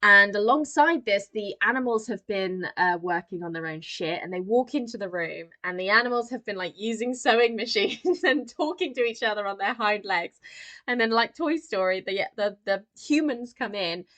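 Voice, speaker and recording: A woman's voice: mid-range voice, lively intonation, normal speed, pushed and bright. A woman co-hosting a podcast, chatting casually and wryly about film. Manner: chatty, wry, swears casually. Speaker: podcast co-host. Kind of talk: casual film discussion chat.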